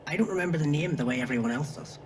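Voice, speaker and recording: Man acting a mild, quick-spoken, enigmatic man. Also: on the high side, slight background noise, close to an everyday voice. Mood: mild, musing. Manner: mild, soft-spoken, musing. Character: mild, enigmatic, pensive man of mysterious past.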